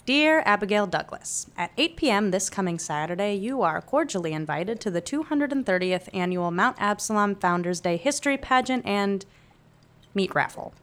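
A woman playing a grumpy, blunt, coffee-starved young nonbinary academic with a curt deadpan manner in an audio drama. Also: mid-range voice, normal speed, close to an everyday voice. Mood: groggy, muttering. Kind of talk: naturalistic audio drama acting.

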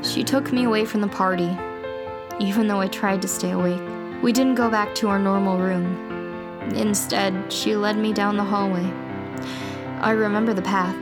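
A woman's voice: medium pitch, fairly even intonation, normal speed, over loud music, natural voice. A woman playing a wry, chatty young radio broadcaster in an audio drama. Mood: wistful, somber. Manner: wry, playful, wordy.

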